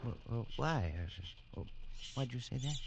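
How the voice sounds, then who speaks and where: A man's voice: mid-range voice, expressive, unhurried, background noise. An actor playing a frightened male explorer in an old radio drama, narrating in a tense, stammering way. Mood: anxious. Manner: tense, stammering, jumpy delivery. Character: frightened adult male explorer recounting being hunted. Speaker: narrator and lead character. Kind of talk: 1940s radio drama acting. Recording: vintage radio broadcast with music bed.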